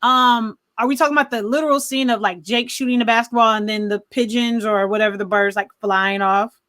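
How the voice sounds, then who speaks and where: A woman co-hosting a podcast, talking through films casually in an animated, rambling, think-aloud way. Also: on the high side, fairly quick, no room sound, studio quality. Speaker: podcast co-host. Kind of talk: casual film discussion.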